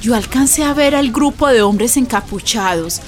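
A woman's voice: fairly high pitch, expressive, normal speed, music in the background, close to an everyday voice. An actress playing an earthy, frightened Colombian village woman who tells of violent events in a radio drama, colloquially and dramatically. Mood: grave. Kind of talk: radio drama narration and dialogue.

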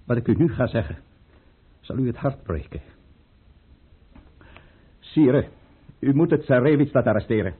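An actor playing a calculating, deferential count in an old radio drama, speaking in a measured and grave manner. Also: male voice, medium pitch, expressive, slightly rough. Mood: urgent, persuasive. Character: calculating, courtly count, a conspirator serving the tsar. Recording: muffled, narrow-band old radio recording.

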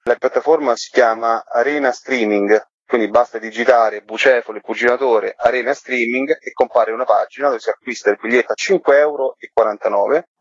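A man's voice: mid-range voice, brisk, few pauses, husky, no echo. A man speaking as an interviewed theatre author and actor, explaining things in an earnest, reflective way. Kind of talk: interview conversation. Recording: dull radio interview sound.

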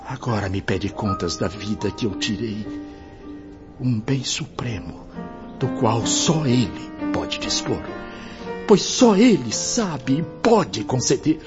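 A tormented male spirit wracked with guilt in a radio drama. His solemn, declamatory delivery swings widely in pitch. The sound is dull, with clear music underneath. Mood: grave guilt. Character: anguished repentant male spirit, tormented murderer.

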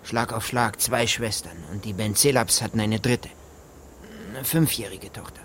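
An actor playing a gruff, evasive rural gamekeeper in a German radio drama, speaking in clipped, offhand colloquial phrases. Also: male voice, lowish voice, normal speed, fairly bright tone, slight background noise, close to an everyday voice. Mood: blunt, callous.

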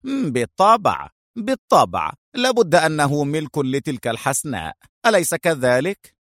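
A man's voice: a little high, very animated, brisk, a bit bright, no echo, studio quality, voice pushed up. A man voicing a sly, mocking police inspector in an audiobook, smug and self-satisfied. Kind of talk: dramatized audiobook antagonist.

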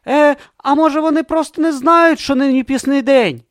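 A man's voice: very high-pitched, fairly even intonation, normal speed, voice pushed up. A man voicing a timid, earnest young male novice monk who speaks hesitantly and anxiously.

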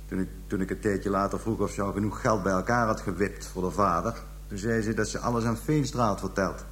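An actor playing a callous pimp making a halting, coarse confession to police in a radio drama. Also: male voice, on the low side, steady tone, a bit bright, slight background noise, natural voice. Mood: callous, scornful. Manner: halting, hesitant storytelling, coarse slang. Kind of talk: radio drama acting, interrogation scene.

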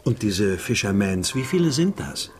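A man's voice: lowish voice, big pitch swings, normal speed. An actor playing a grim, determined father searching for his child, narrating and speaking tersely in a crime radio play. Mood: probing. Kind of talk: scripted first-person narration and dialogue in a crime audio drama. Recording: music behind the voice.

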